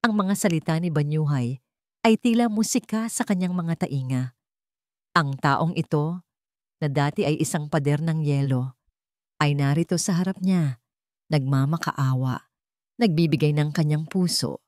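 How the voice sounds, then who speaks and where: A woman's voice: low-pitched, a little slow, pauses often, darkish tone, no echo. Woman narrating a story, close dry sound. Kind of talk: scripted dramatic narration of a romance story.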